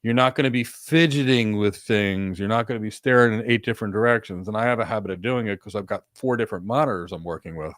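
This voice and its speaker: A man's voice: lowish voice, quick pace, few pauses, fairly bright tone, no echo, studio quality. A man co-hosting a live career question session on a webcam, giving earnest advice in short repeated instructions from a home office with several monitors. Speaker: co-host answering career questions. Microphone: desk webcam setup, video call.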